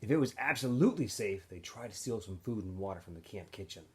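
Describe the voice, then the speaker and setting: Man reading aloud with very lively pitch. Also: fast. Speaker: teacher reading a novel aloud for students. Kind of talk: expressive audiobook-style read-aloud narration.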